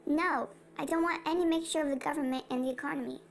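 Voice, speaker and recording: A woman's voice: high voice, steady tone, fast talker, a little hiss or hum. A woman playing a beleaguered, anxious male president in an amateur history puppet show. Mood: defensive, firm. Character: beleaguered male president Martin Van Buren, anxious and defensive.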